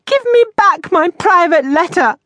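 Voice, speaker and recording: A woman's voice: extremely high pitch, expressive, a little slow, fairly bright tone, no echo, voice pushed up, heavily acted. A woman voicing a stout, down-on-her-luck lady lodger, indignant and self-pitying, with theatrical exclamations. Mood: shrill outrage.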